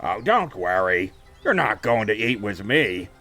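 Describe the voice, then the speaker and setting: A man playing a gleefully menacing, short-tempered cannibal boogeyman in a horror audio drama, with a gravelly voice and a slow, taunting, theatrical manner. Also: lowish voice, sing-song, pushed and bright, soft background music, heavily acted. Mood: gleeful menace. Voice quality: gravelly, creaky.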